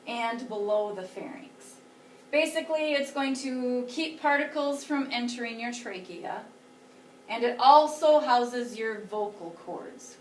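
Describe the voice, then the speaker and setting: A slow, careful woman teacher lectures with a fairly high voice. She sits a little back from the mic, so the room echoes around her. Faint hum of the room underneath. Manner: explains slowly, pointing to slides. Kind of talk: recorded classroom lecture. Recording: roomy, a bit far from the mic.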